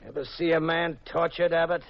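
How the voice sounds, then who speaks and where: Sadistic gangster, creaky gravel, taunting, lively pitch, muffled vintage radio recording. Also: male voice, a little fast. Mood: gloating, cruel. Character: sadistic male gambler gangster, gloating tough guy. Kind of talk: 1940s-50s radio mystery acting.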